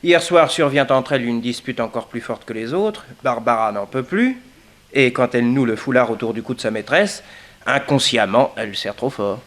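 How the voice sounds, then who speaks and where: Actor as a matter-of-fact, medium-pitched detective's sidekick. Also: male voice, normal speed, a bit bright, natural voice. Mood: musing, confident. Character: knowing male sidekick of a detective, airing theories. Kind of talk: French 1960s radio detective drama acting.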